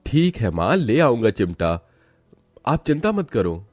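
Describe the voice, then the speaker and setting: A man's voice: medium pitch, sing-song, a little slow, dry recording, soft background music, voice pushed down. A man voicing a timid, humble poor labourer with a hesitant, pleading manner. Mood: reassuring.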